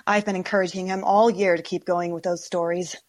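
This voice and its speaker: Woman acting a brisk, encouraging schoolteacher. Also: on the low side, level tone, lightly acted. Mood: supportive. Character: encouraging female high school teacher. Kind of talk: audio drama acting.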